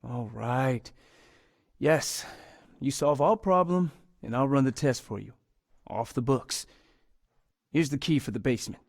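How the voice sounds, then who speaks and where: Courteous, hesitant lab scientist, dry sound. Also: male voice, mid-range voice, measured pace, creaky, pauses often, dry recording. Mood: earnest. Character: polite, hesitant male university lab scientist, earnest. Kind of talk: video game dialogue acting.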